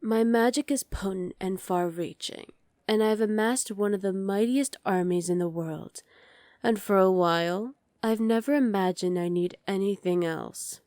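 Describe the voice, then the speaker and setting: A woman's voice: medium pitch, unhurried, darkish tone, no room sound, studio quality, natural voice. A woman playing a dominant evil sorceress queen in an ASMR roleplay, commanding and condescending with cool menace. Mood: coolly imperious. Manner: commanding, condescending, coolly threatening.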